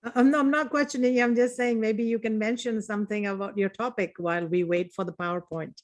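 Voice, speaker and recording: A woman's voice: fairly high pitch, steady tone, quick pace. A woman helping host an online meeting, asking a guest a question in a light casual way. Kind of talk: casual chat. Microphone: video call.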